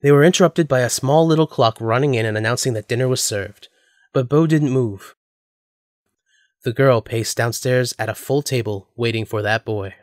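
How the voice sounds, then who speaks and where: A man's voice: mid-range voice, normal speed, no echo. Man narrating, dry close recording. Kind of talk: scripted story narration.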